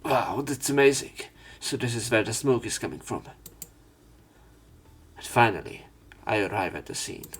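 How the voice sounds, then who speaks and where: A man's voice: medium pitch, lively intonation, moderate pace, slightly rough. A man reading a visual novel aloud as a let's play narrator, dramatizing the script. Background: background music.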